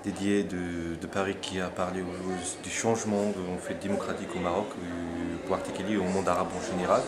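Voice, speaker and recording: A man's voice: low-pitched, little pitch movement, fairly quick, over loud music. A man in his forties from Morocco, giving his view in an interview, hesitating and restarting his phrases while insisting on finishing his point. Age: 40s. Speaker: interviewee, conference attendee. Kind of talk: spontaneous debate interview. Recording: crowd noise behind.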